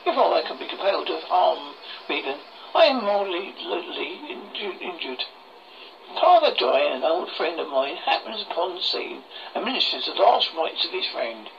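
A horror host reading a scripted story aloud in a halting way, stumbling over words, on a muffled lo-fi recording. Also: medium pitch, sing-song, vocal fry. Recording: muffled lo-fi recording with faint noise.

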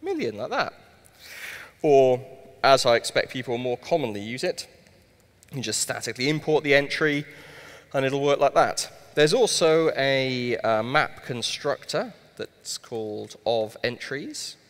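A man's voice: mid-range voice, animated, a little slow. A man from Wales in his thirties, giving a live-coded tech talk on a conference stage with a headset mic, explaining with dry asides. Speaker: conference speaker live-coding. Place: large conference hall stage. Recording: long hall reverb.

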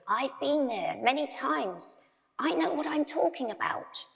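A woman voicing a protective, knowing old male toy car with a croaky voice, in a storybook reading. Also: high-pitched, animated, measured pace, pitched above the performer's own voice, full character voice. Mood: worried, knowing. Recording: long hall reverb.

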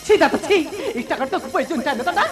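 A woman's voice: high voice, animated, racing. A woman playing a proud, dutiful younger sister who reproaches her brother, declaiming emphatically in folk stage melodrama. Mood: wounded defiance. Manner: emphatic, declamatory, repeating lines. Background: loud music.

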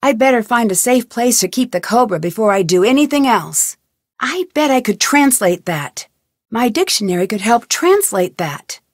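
Curious young girl detective, bright pitch, animated delivery, dry booth sound. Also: moderate pace, no room sound. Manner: thinking aloud, inquisitive, lightly wry. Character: young female amateur detective, curious and determined. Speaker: video game protagonist. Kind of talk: studio game voice lines. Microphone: close studio mic.

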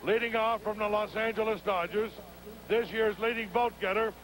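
A man announcing player introductions over a stadium public address system, speaking slowly with long pauses between names, recorded in an outdoor ballpark. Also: high voice, steady tone, rough recording. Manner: slow, deliberate, drawn-out pauses between names. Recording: stadium PA with loud crowd noise, 1980 broadcast. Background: loud crowd.

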